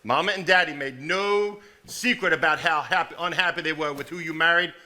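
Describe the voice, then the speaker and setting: A stage actor playing an irritable, blunt eldest brother in a 1950s Southern family, drawling and complaining with a Southern US accent. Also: male voice, a little high, fairly quick, bright, forceful tone. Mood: accusing, irritated. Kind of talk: live stage play acting. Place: theater stage before a live audience.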